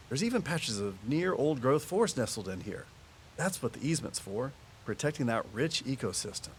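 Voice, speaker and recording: A man's voice: medium pitch, a little hiss or hum, lightly acted. A man playing a patient, nature-loving conservation officer who explains things reflectively in audio drama dialogue. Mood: fond, reflective.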